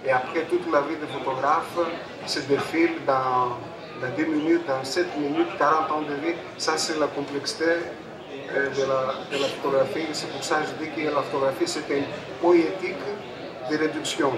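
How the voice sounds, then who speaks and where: A man's voice: on the high side, steady tone, crowd noise. Greek-accented man, distant in an echoey crowded hall. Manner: halting, repeats phrases. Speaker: photographer and panel speaker. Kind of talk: public talk at a book fair, introducing a debate. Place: busy book fair stand.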